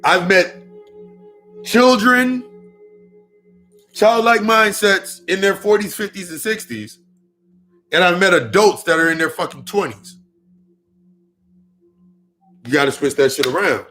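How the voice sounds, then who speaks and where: A man in his mid-thirties talks through a loose spiritual monologue in a slightly high, bright and forceful voice. He swears freely and circles back over his own phrases to hammer them home. Faint music with ringing bowl tones sits behind him. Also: measured pace, long pauses, poor audio. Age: 30s. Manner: profane, repetitive, emphatic. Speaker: spiritual speaker.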